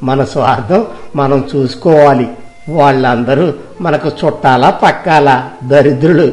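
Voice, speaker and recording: A man's voice: medium pitch, normal speed, a little mellow, lightly acted. A man playing an arrogant, selfish rich villager who talks in a haughty, mocking way in a moral story, music behind him. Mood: irritated, scornful.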